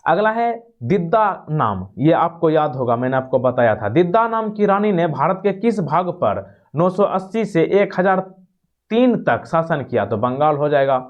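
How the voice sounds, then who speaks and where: A man teaching a quiz lesson, reading out questions, rephrasing them and giving answers in an explanatory way. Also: on the high side. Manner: explanatory, repeats and rephrases each question, checking tags. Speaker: exam-prep teacher. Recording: dull, lo-fi sound.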